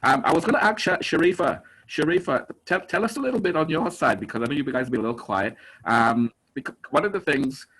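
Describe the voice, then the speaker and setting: A man's voice: on the high side, expressive, fast, few pauses, fairly bright tone, breathy edge, low-quality recording. A man from England hosting a family video call, talking in a hesitant way with many restarts.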